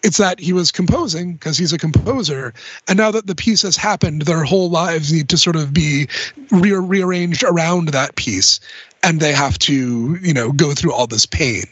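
A man with a fairly high voice talks through ideas in a casual, analytical podcast style. He explains discursively, dropping in asides as he goes. The sound is dry and close, slightly dulled and lo-fi. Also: normal speed, fairly bright tone, slightly rough, no echo. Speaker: podcast panelist discussing film and music.

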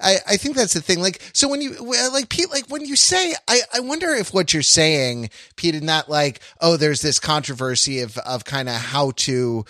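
A man speaks as a podcast co-host in loose, analytical conversation. His slightly high voice comes in halting runs, repeating phrases as he searches for the word. The sound is dry, with little room echo. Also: animated, bright, forceful tone. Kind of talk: casual analytical discussion.

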